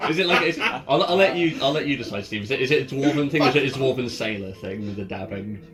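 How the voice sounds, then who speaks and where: A man's voice: mid-range voice, expressive, fast. A man talking casually and encouragingly as the game master of a tabletop roleplaying session, often joking. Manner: jokey, encouraging. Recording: background music under the talk. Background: ambient music.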